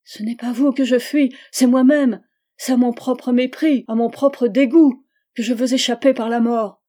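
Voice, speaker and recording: A woman reading the part of a desperate, ashamed young married woman, in a high, anguished pleading voice. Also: fairly even intonation, unhurried, mellow. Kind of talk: audiobook dialogue reading.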